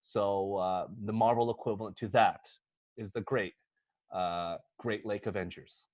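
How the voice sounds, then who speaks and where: Excitable man rambling through a casual pitch. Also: a little slow, no echo, muffled recording. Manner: rambling, excitable, acts out imagined quotes. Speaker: podcast panelist. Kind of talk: casual comedic movie pitch.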